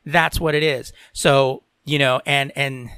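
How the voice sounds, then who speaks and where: A man's voice: mid-range voice, fairly even intonation, slow, pushed and bright, clean tone, no room sound, clean studio sound. A podcast host from Latin America, a man who reads tech and entertainment news aloud and breaks off into opinionated commentary peppered with "you know".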